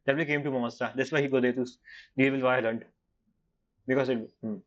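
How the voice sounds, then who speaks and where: A man's voice: medium pitch, fairly even intonation. Man reacting to a game, slightly muffled. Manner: halting, non-fluent English phrasing. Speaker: game streamer. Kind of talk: casual gameplay reaction commentary.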